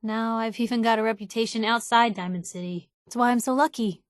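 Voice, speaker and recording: A woman's voice: fairly high pitch, brisk, no room sound, clean studio sound, lightly acted. An actress playing a spirited, nosy young woman reporter in a video game, loyal and outspoken, talking in a wry, colloquial way. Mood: heartfelt, wistful. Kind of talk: video game companion dialogue.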